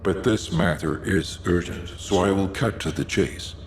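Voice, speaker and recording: A man playing a grave, formal commander who gives measured, urgent briefings in a video game. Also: deep voice, roomy sound, slight background noise. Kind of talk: scripted video game dialogue.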